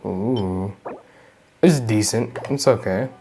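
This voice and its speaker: A man's voice: fairly low pitch, slow pace, gravelly, mellow, faint music behind. A man giving casual, laid-back commentary over his gameplay, with mumbled asides. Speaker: gaming youtuber.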